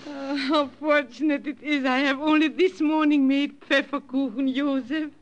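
A woman's voice: sits high, fairly even intonation, moderate pace, slight background noise, natural voice. A woman playing a kindly old German grandmother who runs a bakery, with a German accent, in old radio-drama style. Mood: welcoming. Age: older. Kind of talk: 1950s radio drama acting. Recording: muffled old radio recording.

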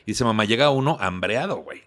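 A man's voice: fairly low pitch, animated, quick pace, fairly bright tone. A man from Mexico with a Mexican accent, chatting casually and teasingly as a podcast host. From Tampico, Mexico. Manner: joking, teasing. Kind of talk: casual chat.

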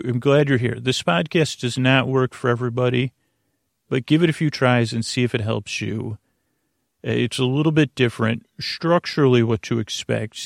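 A man's voice: medium pitch, dry recording, studio quality. A man hosting a bedtime podcast in a meandering monologue, stammering over words and losing his thread as he wanders off topic. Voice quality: gravelly, creaky. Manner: rambling, digressive, loses his thread; halting, stammering word repetitions. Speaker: podcast host. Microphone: close, dry podcast mic.

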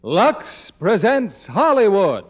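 A man's voice: sits high, huge pitch swings, slow pace. A man announcing a 1950s radio show in a grand, declamatory scripted style. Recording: vintage radio broadcast, loud orchestral music behind.

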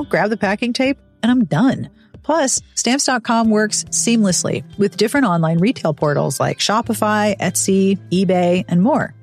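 Upbeat woman podcast host. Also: mid-range voice. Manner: upbeat, chatty and personal. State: recovering from illness. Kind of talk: scripted podcast intro and host-read ads.

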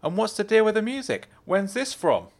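A man's voice: high voice, very animated, fairly quick, pitched above the performer's own voice. A man voicing a brash, bossy young woman student who fires off pushy, teasing questions.

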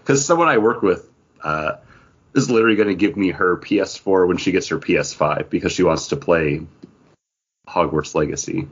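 Husky low-voiced man on a podcast. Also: moderate pace. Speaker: podcast co-host. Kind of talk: casual chat. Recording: dull lo-fi sound.

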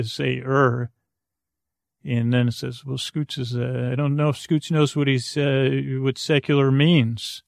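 Creaky guy doing a sleepy podcast ramble, pretty flat pitch, soothing, keeps trailing off into tangents. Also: no echo, studio quality. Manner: meandering, hesitant, full of tangents and trailing restarts; soothing, lulling. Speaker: podcast host. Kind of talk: rambling bedtime monologue to lull listeners to sleep.

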